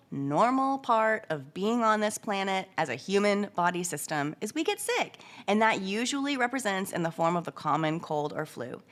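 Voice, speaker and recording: Hoarse woman podcast host with a cold. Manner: earnest, musing, with animated jumps in pitch. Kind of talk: casual conversational podcast.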